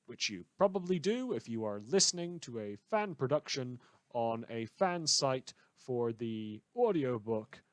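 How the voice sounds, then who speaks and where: A man's voice: mid-range voice, sing-song, measured pace, no echo. A man giving casual spoken credits for a fan audio production, wry and correcting himself as he goes. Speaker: fan audio drama creator.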